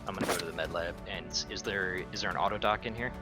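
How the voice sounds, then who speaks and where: Low-voiced man at a game table. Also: rapid-fire. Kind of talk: casual game-table chat. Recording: prominent music behind voice.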